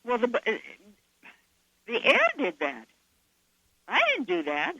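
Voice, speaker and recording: A woman from southern Idaho with a hoarse voice, speaking fervently and emphatically as an author guest in a radio interview. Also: a little high, wildly swooping pitch, slow, bright, forceful tone, dry recording, muffled recording. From southern Idaho, USA. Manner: fervent, emphatic, laughs often. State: hoarse from coughing.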